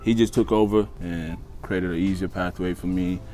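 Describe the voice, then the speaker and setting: Male, 20s, non-native English speaker, very deep register, relaxed interview answers with emphatic repetition, faint music bed. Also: normal speed. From East Africa and Australia. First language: not English, learned it after moving to Australia. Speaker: interview guest, college basketball player. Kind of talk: casual interview, telling own life story. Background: background music.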